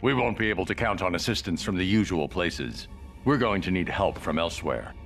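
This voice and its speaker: A man's voice: low-pitched, expressive, a little fast, a bit bright, soft background music. A man playing a stern, brooding superhero detective in a video game, speaking tersely with grim authority. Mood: grave. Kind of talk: animated video game cutscene acting.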